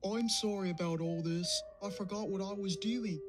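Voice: mid-range voice, steady tone, moderate pace, music in the background, close to an everyday voice. An actor voicing a forgetful, anxious cartoon diesel engine, apologetic and hesitant, prone to gasps. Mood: sorry. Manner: hesitant, gasping, dithering. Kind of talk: cartoon comic dub dialogue.

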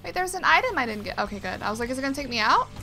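Female gamer, casual slangy commentary, higher-pitched and bright. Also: sing-song, rapid-fire, faint music behind. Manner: slangy, reactive, swears. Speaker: let's player.

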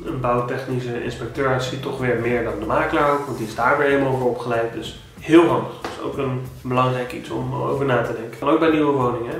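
A man in his thirties with a Dutch accent, giving home-buying tips in a casual, animated vlog with many restarts. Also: medium pitch. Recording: very echoey room, a bit distant.

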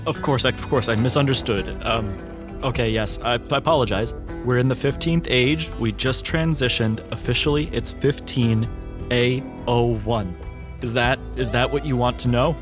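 A man's voice: mid-range voice, moderate pace, music in the background, close to an everyday voice. Man playing a stammering, cowed hotel proprietor. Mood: nervous, apologetic. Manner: stammering, repeats himself nervously. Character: flustered, apologetic male hotel proprietor, cowed and placating.

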